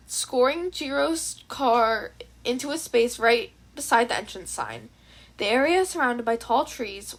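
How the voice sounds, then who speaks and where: A woman's voice: high voice, fairly bright tone. A woman reading a story aloud as scripted audiobook-style narration. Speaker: fan fiction narrator.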